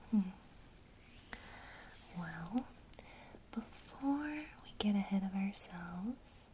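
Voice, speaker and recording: A woman teaching witchcraft basics in a soft, encouraging ASMR whisper. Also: medium pitch, slight background noise, low-quality recording.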